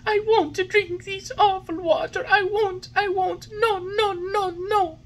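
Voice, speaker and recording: A woman voicing a whiny, stubborn puppet boy in a high, shrill voice. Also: normal speed. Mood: whining. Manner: shrill, whining, loud.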